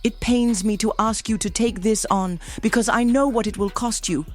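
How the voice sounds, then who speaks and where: A woman's voice: a little high, steady tone, normal speed, faint music behind, close to an everyday voice. A woman playing the motherly, solemn wife of a werewolf chief, earnest and dramatic in a fantasy audio drama. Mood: earnest, pained.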